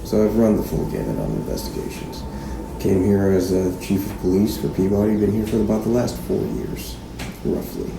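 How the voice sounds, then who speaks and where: An actor playing a methodical small-town police chief conducting a recorded interview in a halting, procedural way, in a naturalistic fiction podcast. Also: male voice, lowish voice, expressive, creaky, noisy background. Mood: measured, probing. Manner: procedural, halting, full of hesitations. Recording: roomy interview-room echo, music behind.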